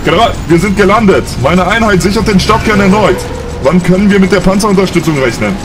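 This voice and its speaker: A man's voice: high-pitched, animated, quick pace, music in the background. A man playing an urgent, principled military centurion in a science fiction audio drama, giving clipped, commanding orders. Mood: firm command.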